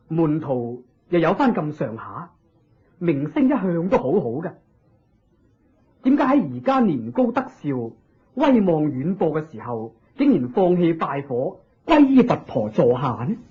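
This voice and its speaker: A hearty, gracious king from a Buddhist radio drama, speaking with a Hong Kong Cantonese accent. His voice is rough and fairly high, full of questioning exclamations. The recording is dull and lo-fi. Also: measured pace, exaggerated voice. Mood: surprised, curious. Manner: expressive, inquisitive, exclamatory. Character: hearty, curious, gracious king of ancient India.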